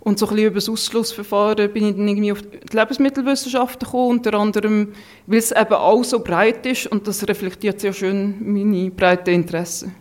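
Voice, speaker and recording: A woman's voice: medium pitch, steady tone, fast. A woman in her thirties with a mixed Swiss German accent, speaking casually and reflectively as a podcast guest. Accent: Swiss German, mixed Lucerne, Thurgau and Zurich. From Switzerland. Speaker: interview guest, food scientist.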